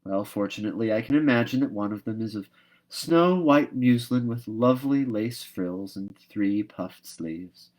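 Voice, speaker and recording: A man's voice: lowish voice, moderate pace, a little mellow, natural voice, rough recording. Young orphan girl for a storybook, read by a man: imaginative, wistful, earnest, given to dramatic pleading. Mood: disappointed, dreamy.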